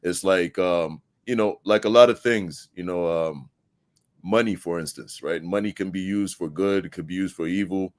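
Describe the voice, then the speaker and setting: Deep-voiced Zambian man, dry close sound. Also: normal speed, dry recording. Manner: explanatory, uses tag questions. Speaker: guest. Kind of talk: casual interview chat.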